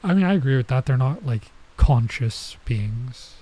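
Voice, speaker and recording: Mellow-voiced man reading a game aloud. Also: medium pitch, expressive, measured pace, husky, dry recording. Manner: stops to remark on and reread the text. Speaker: streamer playing and reading a visual novel aloud. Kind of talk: let's play read-aloud with casual side comments.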